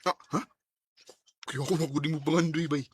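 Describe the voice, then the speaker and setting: A man's voice: fairly high pitch, steady tone, brisk, slightly rough. A man playing a playful, nosy male student who teases his friend, in Bisaya radio drama style. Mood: teasing. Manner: teasing, exclamatory. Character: teasing male school buddy, playful and nosy.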